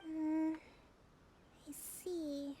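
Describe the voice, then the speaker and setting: A woman playing a gentle, composed and quietly weary young priestess leader in an anime game, polite and measured. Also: high-pitched, level tone, slight background noise. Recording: game music behind voice.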